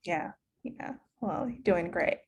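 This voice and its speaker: A woman's voice: on the low side, slow pace, husky. A woman hosting a fan podcast, asking questions in an enthusiastic, affirming way. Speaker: podcast host. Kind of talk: casual interview.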